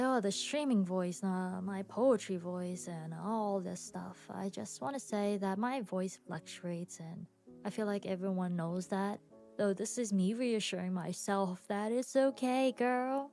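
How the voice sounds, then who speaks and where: Young woman podcaster, tired, slow and gravelly, soft music underneath. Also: mid-range voice. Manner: soft, rambling, frequent pauses and little laughs. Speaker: podcast host and streamer. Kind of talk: casual solo podcast chat. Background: soft background music.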